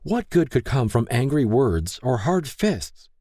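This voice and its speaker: A man's voice: medium pitch, very animated, a little slow, warm tone, no echo, studio quality, voice pushed up. A man voicing a distraught young woman who prays alone, asking anguished, pleading questions in an audiobook. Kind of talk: audiobook character reading.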